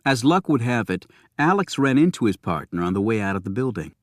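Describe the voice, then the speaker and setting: A man narrates a thriller audiobook in a mid-pitched voice. The delivery is scripted storytelling, and the sound is dry, with no room echo around it. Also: very animated, brisk.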